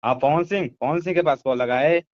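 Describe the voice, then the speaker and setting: A man's voice: fairly high pitch, brisk, dry recording. A man making a confrontational phone call, insistent and menacing, repeating himself as he presses the other person. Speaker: caller. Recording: dull lo-fi phone call recording.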